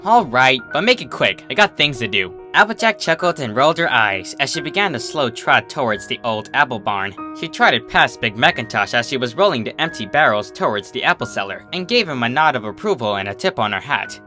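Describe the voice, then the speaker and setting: Male narrator for scripted fiction: bright, animated delivery over guitar music. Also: mid-range voice, a little fast, hardly any pauses.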